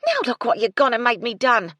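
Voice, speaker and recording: Cook, stout and short-fused, loud and coarse, pitched up high, played by a woman. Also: huge pitch swings, brisk, dry recording, heavily acted. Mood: angry. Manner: yelling, coarse.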